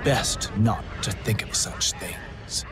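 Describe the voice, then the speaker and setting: An actor playing a sinister, smug mastermind in a video game, taunting in a measured, ominous manner over loud background noise. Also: male voice, fairly low pitch, over loud music. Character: sinister, calmly taunting male mastermind and showman. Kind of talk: dramatic villain dialogue in a video game.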